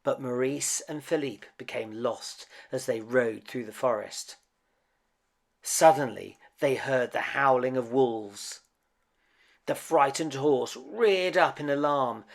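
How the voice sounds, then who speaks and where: A man reading a picture book aloud to children as an expressive, dramatic storyteller. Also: medium pitch, slow. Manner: dramatic, with emphatic swells and hushed drops.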